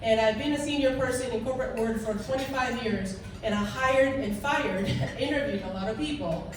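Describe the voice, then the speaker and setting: Korean-accented woman in her forties, guest speaker, earnest and halting, fairly high voice, distant mic in an echoey room with steady noise. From South Korea. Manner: earnest, halting speech with restarts. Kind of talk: speech to a club audience. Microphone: handheld mic. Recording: echoey room, recorded from far off.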